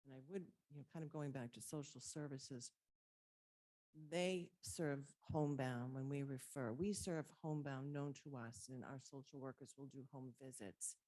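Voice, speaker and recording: A soft-spoken woman walks a council committee through her department's services. Her voice sits low and mellow, and the room sound is dry and close. She talks steadily, with plenty of ums and small restarts. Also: steady tone, moderate pace, dry recording, poor audio. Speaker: council on aging director presenting budget. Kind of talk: public meeting presentation. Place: city council chamber. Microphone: table microphone.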